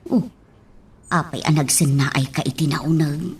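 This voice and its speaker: An actress playing a frank, worried household nanny in an Ilocano radio drama. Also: female voice, low voice, normal speed, breathy edge. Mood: concerned, frank.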